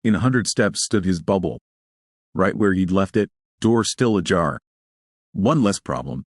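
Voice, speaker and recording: A man's voice: sits low, expressive, a little slow, dry recording, studio quality. A man reading scripted fiction as a story narrator.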